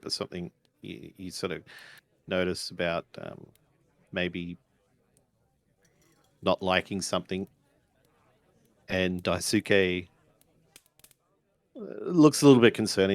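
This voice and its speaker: Male game master, deep and unhurried, improvising tabletop narration with a hesitant delivery. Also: no room sound.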